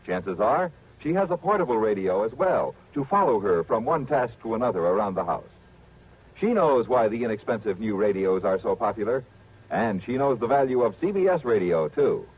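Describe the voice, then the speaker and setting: A man's voice: on the low side, very animated, normal speed, slight background noise. An American man reading 1950s radio promotional copy in a polished, salesman-like announcer's style. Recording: thin, phone-like vintage radio recording.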